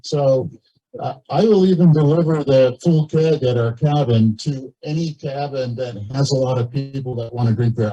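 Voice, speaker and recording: A man with a very dark, husky voice speaks up at a community meeting. He talks informally and haltingly, often repeating a word as he goes. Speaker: cabin owner speaking from the floor at a meeting. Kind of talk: informal meeting remarks and questions. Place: community meeting, captured on a video call. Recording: muffled low-fidelity meeting audio over video call.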